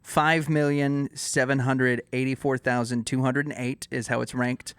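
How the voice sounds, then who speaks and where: A podcast co-host from Dallas, teasing his guest in a dry, deadpan way in casual chat. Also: mid-range voice, a little slow, clean tone, dry recording. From Dallas, Texas.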